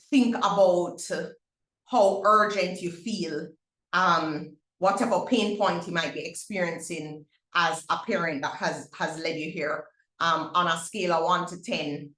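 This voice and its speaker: A woman's voice: fairly low pitch, bright, forceful tone, reverberant, rough recording. A middle-aged Jamaican woman hosting a parents' webinar, upbeat, with many fillers and restarts. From Barbados. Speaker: webinar host. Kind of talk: webinar info session.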